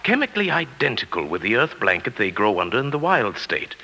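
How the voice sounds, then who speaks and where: A man's voice: mid-range voice, very animated, brisk, gravelly, pushed and bright, full character voice, poor audio. An actor playing a mild, hesitant, middle-aged professor bossed around by his wife, in 1940s radio drama style. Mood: meek, evasive. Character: meek henpecked bearded English professor, quietly seething. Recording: old 1940s radio broadcast with music.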